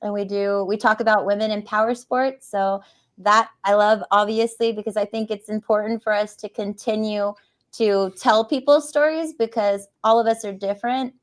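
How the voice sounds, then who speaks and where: Chatty woman, mid-pitched. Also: steady tone, normal speed. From West Texas. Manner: chatty, laughs often. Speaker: riding academy coach and guest. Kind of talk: casual interview chat. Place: motorcycle dealership.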